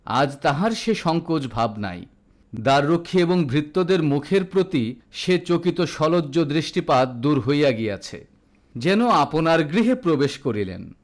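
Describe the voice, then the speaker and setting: A man narrates a literary audio story. His voice sits a little high for a man. The reading is formal and dramatic, in the manner of a storyteller. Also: sing-song, unhurried.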